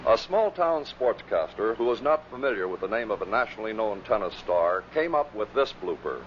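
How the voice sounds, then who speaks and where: A man's voice: fairly low pitch, sing-song, brisk. A man giving scripted narration as the presenter of a compilation. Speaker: narrator introducing radio bloopers. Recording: dull vintage recording, faint noise.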